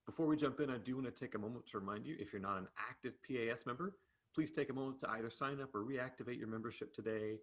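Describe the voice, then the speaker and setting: A man's voice: medium pitch, quick pace. A man speaking as the host of an interview, introducing and questioning in a conversational way. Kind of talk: interview hosting.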